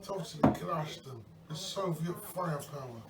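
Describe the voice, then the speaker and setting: Man playing high-pitched zealous Soviet soldier, echoey. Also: lively intonation, normal speed, natural voice. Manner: repeats phrases, declamatory. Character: zealous wounded Soviet soldier, devoted to the motherland. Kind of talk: amateur improvised war role-play. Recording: echoey, distant, noisy room recording.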